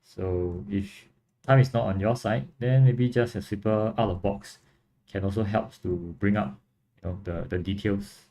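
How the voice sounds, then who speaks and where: A young man from Singapore with a Singaporean accent, casually chatting on a livestream and answering viewers in a relaxed, rambling way. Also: lowish voice, measured pace. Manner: relaxed, rambling, frequent fillers and restarts. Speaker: livestream host and model kit builder.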